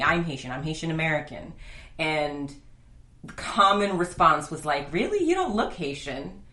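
A woman's voice: deep voice, fairly bright tone. A woman hosting a podcast interview, chatty and enthusiastic, often checking in with "right?". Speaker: podcast host. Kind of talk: casual interview conversation. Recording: echoey room.

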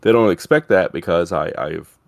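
A man with a fairly low voice and a Southern US accent hosts a casual podcast chat. His voice is recorded dry, with little room sound around it. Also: normal speed, creaky, no echo. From Alabama, USA. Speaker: podcast host.

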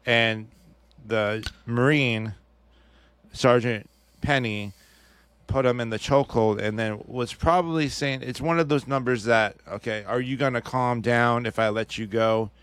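A man's voice: medium pitch, fairly even intonation, measured pace, a bit bright, smooth, no echo. A man co-hosting a podcast in casual chat, talking hesitantly with many restarts and hedges. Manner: hesitant, restarts often, hedges his opinions. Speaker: podcast co-host. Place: podcast studio.

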